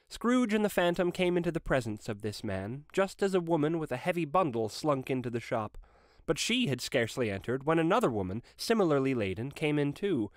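A man's voice: fairly high pitch, no room sound, studio quality. A man reading a classic story aloud as narrator, in a dramatic storytelling style.

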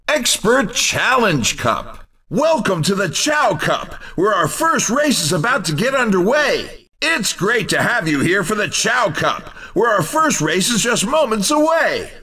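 Casting: over-excited, folksy arcade-racer announcer, wildly swooping intonation, unhurried delivery, exuberant showman. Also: high-pitched, husky, distant mic, full character voice. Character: manic, over-excited male race announcer. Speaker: racing commentator. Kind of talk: hyped video game race commentary.

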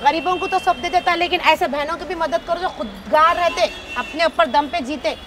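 A woman's voice: very high voice, a little fast. A middle-aged woman from Hyderabad with a Hyderabadi accent, chatting brashly and teasing people at a street stall for a comedy vlog, her throat a bit sore. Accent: Hyderabadi Hindi-Urdu. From Hyderabad, India. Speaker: comedy vlogger. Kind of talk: street prank vlog chat. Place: busy city roadside stall, outdoors. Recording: street noise behind.

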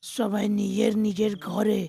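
A woman's voice: medium pitch, little pitch movement, a little slow, gravelly, dark tone, slightly rough, no room sound, heavily acted. A woman voicing a hungry, menacing ghostly beast in a horror cartoon, taunting and threatening. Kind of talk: animated horror story dialogue.

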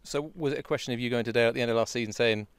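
A man's voice: mid-range voice, clear, resonant tone, no room sound. A man asking casual, teasing interview questions for a football club's media channel. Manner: chatty, teasing, quick.

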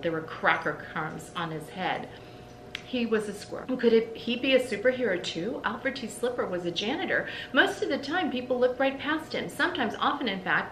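A middle-aged woman reading a children's storybook aloud expressively, like a teacher, with deliberate pauses between phrases. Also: lively intonation, fairly bright tone. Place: indoors in a room with bookshelves. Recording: roomy echo, faint low rumble.

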